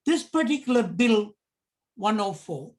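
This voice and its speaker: Man, Sri Lankan accent, middle-aged, slow speaking, deliberate prepared talk. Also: sits high, animated. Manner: slow, deliberate, measured phrasing in short pieces. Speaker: commentator.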